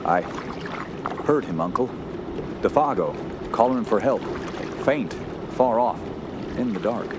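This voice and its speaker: An actor playing an earnest, shaken young woodsman who tells his story in a radio horror drama, halting and solemn. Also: lowish voice. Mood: uneasy. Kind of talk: radio horror narration and acting. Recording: loud steady outdoor background noise.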